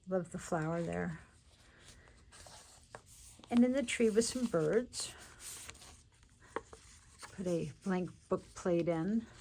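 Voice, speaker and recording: Stuffy congested lady crafter chatting slow, kinda low voice, lots of ums. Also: slight background noise. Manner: chatty and unhurried, with many fillers and restarts. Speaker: crafter showing a handmade journal. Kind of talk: casual show-and-tell narration.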